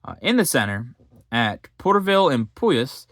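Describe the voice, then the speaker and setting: A man reading a history book aloud, with halting reading, fillers and self-corrections, stopping now and then for casual comments. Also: mid-range voice, sing-song, fairly bright tone, dry recording, clean studio sound. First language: English.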